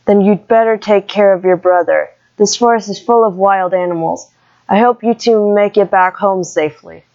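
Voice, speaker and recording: A woman's voice: mid-range voice, moderate pace, a little mellow, lo-fi sound, lightly acted. Woman as a concerned forest hunter. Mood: cautioning.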